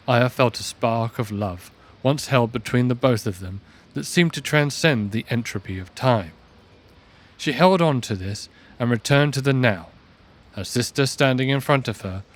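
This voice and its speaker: A man narrating an audio drama in a scripted storybook style. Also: mid-range voice.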